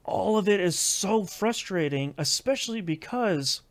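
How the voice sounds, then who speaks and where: Higher-voiced man, chatty game podcaster. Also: animated, a little slow. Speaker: podcast co-host. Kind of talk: casual chat.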